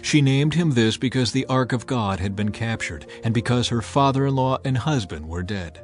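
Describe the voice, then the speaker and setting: A man reads scripture as a dramatized audiobook, with a solemn storytelling manner. His voice sits at a middle pitch, and music plays faintly behind him. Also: animated, normal speed. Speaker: narrator.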